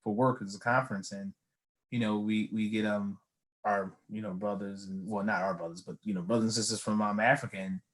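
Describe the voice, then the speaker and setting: A man from the United States hosting a casual faith chat, reading viewer comments aloud and speaking haltingly. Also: fairly low pitch, fairly even intonation. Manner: hesitant, many fillers and restarts.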